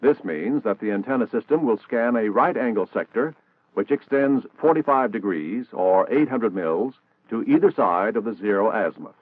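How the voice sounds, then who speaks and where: A man's voice: lowish voice, expressive, normal speed, no room sound. American man, narrow-band 1960s film narration. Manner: formal, precise, instructional. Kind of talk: scripted 1960s military training film narration.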